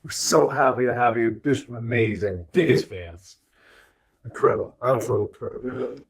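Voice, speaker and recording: Slick TV exec, effusive, eager, short flattering bursts, husky voice, audio drama. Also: male voice, mid-range voice, normal speed. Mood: gushing. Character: slick, gushing, eager male TV executive.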